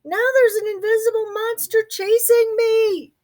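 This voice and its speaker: A woman voicing a small, frightened young boy mouse from a children's storybook, with squeaky, panicky, wailing exclamations. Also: fairly even intonation, measured pace, darkish tone. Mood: frightened, squealing.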